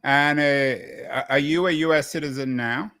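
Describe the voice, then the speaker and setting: A man's voice: a little high, measured pace, sharp tone, clear, resonant tone, dry recording, studio quality. A man hosting an interview, asking long, probing questions with hesitations. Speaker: podcast host and interviewer.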